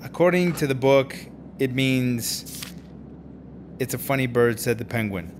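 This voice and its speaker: A man's voice: medium pitch, measured pace, natural voice. Stubborn, quirky man over noisy ambience, acted out. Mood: defensive. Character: stubborn, quirky man clumsily learning French. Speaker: radio drama actor. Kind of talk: naturalistic radio drama dialogue.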